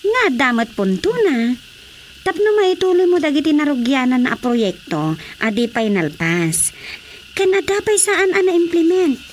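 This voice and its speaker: High, lively Ilocano village woman. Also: unhurried, slight background noise. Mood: concerned. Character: talkative, concerned Filipino village woman. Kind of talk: Filipino radio drama acting.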